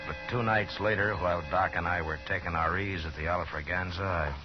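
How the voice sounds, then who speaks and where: An American actor playing a steady, world-weary frontier lawman in a 1950s radio Western, measured, grave and laconic. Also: sits low, over music, natural voice. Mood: dry narration. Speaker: lead actor and narrator. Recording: muffled old 1950s radio recording.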